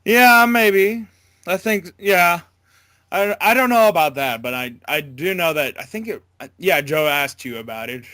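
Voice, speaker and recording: A man with a bright, slightly high voice chats casually as an interview guest. He stammers and doubles back on his sentences. The sound is close and dry. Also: expressive, dry recording. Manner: stammering, halting, backtracking. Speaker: interview guest, amateur voice actor. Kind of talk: casual chat.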